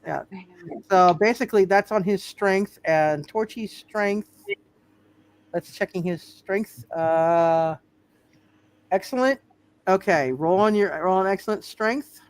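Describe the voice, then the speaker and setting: A man running a tabletop superhero game as game master, talking casually and haltingly, often repeating words and phrases as he works out the rules. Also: high-pitched, smooth.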